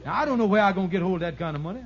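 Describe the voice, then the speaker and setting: An actor playing a glib, bumbling schemer in a 1950s radio sitcom, with folksy, stalling, ungrammatical patter. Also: male voice, sits high, lively intonation, racing. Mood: anxious, confiding. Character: fast-talking male schemer, glib, wheedling, bumbling con artist. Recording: muffled, noisy vintage radio broadcast.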